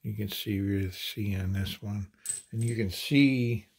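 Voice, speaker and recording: A man casually demonstrating ammunition handloading at a table, talking in halting phrases with many fillers and restarts. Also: fairly low pitch, creaky, darkish tone, slightly rough. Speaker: handloading channel host.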